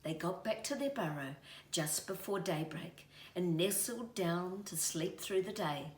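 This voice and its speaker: A woman reading a children's story aloud in an expressive, deliberate way. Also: lowish voice. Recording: roomy, distant mic, faint noise.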